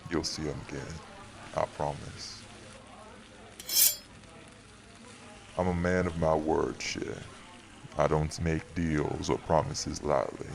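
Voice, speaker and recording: An extremely low-voiced man , played by an actor, with gravel in his tone. He is a courteous figure of authority, protective yet firm, speaking in a measured, folksy way, as in an audio drama. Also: fairly even intonation, background noise, exaggerated voice. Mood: reassuring, earnest. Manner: folksy, measured, addresses listener directly. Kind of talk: audio roleplay acting.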